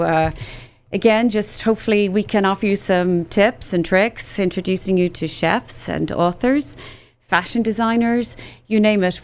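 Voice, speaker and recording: A woman's voice: mid-range voice, smooth. A woman in her late forties from Ireland with a Cork accent, hosting a live-streamed show in a chatty, rambling way with many fillers. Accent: Irish, Cork. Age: 40s. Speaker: host. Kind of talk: live-streamed casual chat.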